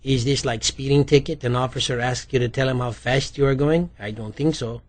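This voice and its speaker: A man's voice: medium pitch, steady tone, fairly quick, close to an everyday voice. A man voicing a slippery, talkative immigrant bookie with a light Polish accent, evasive and chatty, in an audiobook. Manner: evasive, chatty, questioning tags.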